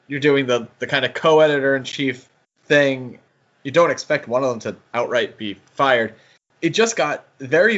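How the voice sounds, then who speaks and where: A man hosting a podcast in a casual discussion style, talking in a halting, conversational way. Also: medium pitch, a bit bright, highs cut off. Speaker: podcast host.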